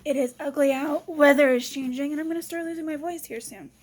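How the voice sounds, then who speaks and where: High-voiced woman venting, exasperated. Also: fairly even intonation, brisk. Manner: exasperated, blunt, slangy. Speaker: vlogger. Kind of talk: casual venting chat.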